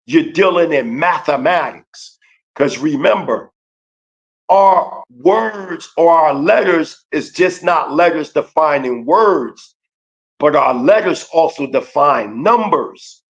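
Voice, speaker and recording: Emphatic man lecturing in a preaching cadence. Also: a little high. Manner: emphatic, slow, repeats key phrases. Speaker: guest teacher. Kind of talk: preaching-style lecture on a livestream.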